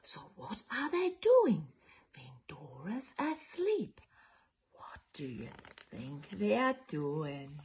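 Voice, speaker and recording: A woman reading a children's picture book aloud in a playful, theatrical way, with dramatic whispers and drawn-out words. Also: low-pitched, wildly swooping pitch, darkish tone. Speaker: children's storytime reader.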